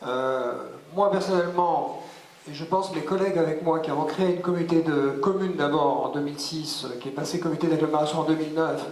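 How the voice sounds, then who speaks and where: A man with a fairly high voice speaks in a reverberant hall with faint ambience. He answers as a local official at a public debate, feeling his way through sentences, doubling back on words and adding emphatic asides. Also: a little mellow, slight background noise.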